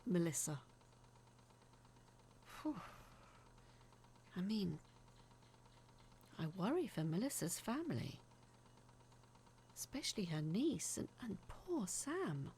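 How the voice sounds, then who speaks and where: A woman telling a spooky first-person story in a dramatic, uneasy, confiding way, sometimes dropping to a hush. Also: on the low side, a little hiss or hum. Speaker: narrator of a horror audio story.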